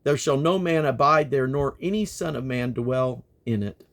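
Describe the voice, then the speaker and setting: A man reading scripture aloud in a solemn, declamatory manner, with measured phrasing and plainly spoken references. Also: mid-range voice. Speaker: Bible reader and host.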